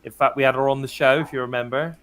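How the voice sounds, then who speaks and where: A British man with a slightly hoarse, croaky voice, chatting casually as a podcast co-host. He speaks at a mid pitch and keeps the talk moving, handing over to his co-hosts. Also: fairly even intonation, fast, a bit bright, a little hiss or hum. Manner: chatty, leads the discussion and hands over to others. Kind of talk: casual wrestling podcast chat.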